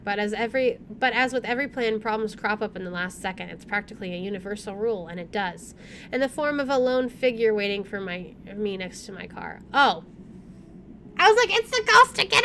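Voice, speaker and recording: A woman's voice: medium pitch, fairly bright tone, a little hiss or hum. A woman hosting a playthrough, reading game text aloud and commenting casually. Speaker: gameplay host.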